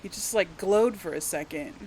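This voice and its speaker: A woman's voice: on the low side, moderate pace, warm tone, slight background noise, rough recording. A woman giving casual let's play commentary and role-play, musing and thinking aloud as she goes. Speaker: gamer, let's play host.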